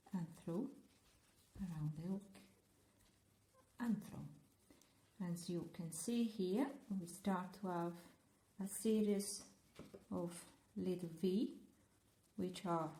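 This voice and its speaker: A woman's voice: on the low side, echoey room. A woman with an Italian accent from Italy, leading a step-by-step crochet tutorial in a playful, chatty way with small jokes. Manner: playful, chatty, gently joking instructions. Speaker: crochet teacher.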